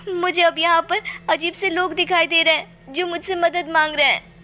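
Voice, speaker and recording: A woman playing a curious, easily frightened young daughter in an animated horror story, with dramatic, exclamatory delivery. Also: extremely high pitch, moderate pace, soft background music. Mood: terrified. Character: frightened, curious young daughter who discovers magic powers.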